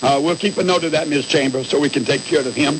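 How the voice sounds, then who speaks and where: A man's voice: a little high, quick pace. A man hosting a live religious call-in radio show as a preacher, speaking earnestly and emphatically and often repeating himself. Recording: hissy, noisy radio broadcast recording.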